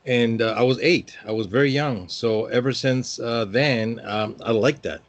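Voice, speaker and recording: A man's voice: mid-range voice, measured pace, dull audio. A man in his fifties from Peru, speaking as a guest in a casual interview and rambling with many hesitant fillers. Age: 50s.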